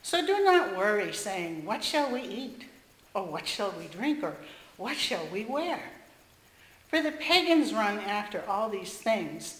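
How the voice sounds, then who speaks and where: Female lay reader, strained voice, measured scripture reading, echoey room. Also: medium pitch, a bit bright. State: strained voice after losing it earlier. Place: church sanctuary.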